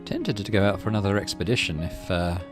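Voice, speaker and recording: A man's voice: lowish voice, lively intonation, fast talker, music in the background. A man casually hosting a game playthrough, reading narration aloud and muttering his thinking as he plays. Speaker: gaming channel host.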